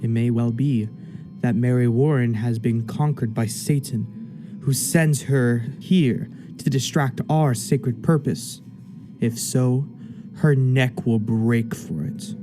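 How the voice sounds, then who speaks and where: Music plays behind a man playing a stern magistrate in a radio play. His delivery is measured and slow, at a middling pitch. He speaks formally and with command, like one used to being obeyed. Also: steady tone, lightly acted. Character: stern, imperious male judge presiding over an inquiry.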